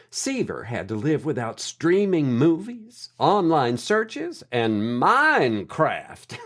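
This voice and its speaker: A man playing a friendly host of a children's story podcast, speaking to listeners as friends in an animated way. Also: mid-range voice. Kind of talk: scripted storytelling sign-off.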